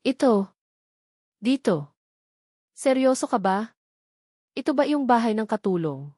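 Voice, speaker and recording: A woman's voice: a little high, sing-song, unhurried, dark tone, dry recording. Woman narrator as spoiled young Filipino heiress in romance audiobook, sarcastic and indignant, dry recording. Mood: incredulous.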